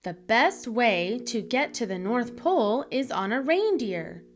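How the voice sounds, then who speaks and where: Lady doing a can-do little boy hero for storytime, high voice, slow n bouncy. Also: sing-song. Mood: eager, confident. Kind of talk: children's storybook read-aloud.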